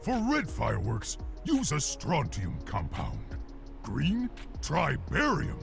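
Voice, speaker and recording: Creaky, slow, knowing game character. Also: male voice, on the high side, wildly swooping pitch, full character voice. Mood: instructive. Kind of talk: video game voice lines. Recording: game clip under clear music.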